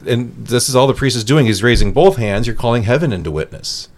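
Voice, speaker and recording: A man's voice: medium pitch, lively intonation, quick pace. A man from central Iowa, a priest guest on a studio talk show, explaining in an earnest way with frequent restarts. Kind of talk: studio podcast conversation. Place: radio studio.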